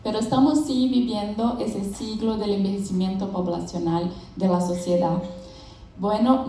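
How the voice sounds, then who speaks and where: A woman's voice: mid-range voice, mellow, slight background noise. A young adult woman from Brazil, Portuguese her first language, giving a friendly conference presentation, laughing often and sometimes searching for words. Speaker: conference speaker. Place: large event hall with audience. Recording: echoey hall from a distant mic.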